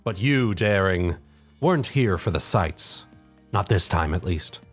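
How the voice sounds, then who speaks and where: A man's voice: low voice, animated, a little slow. A man narrating a fan fiction story in a dramatic second-person storytelling style. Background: background music.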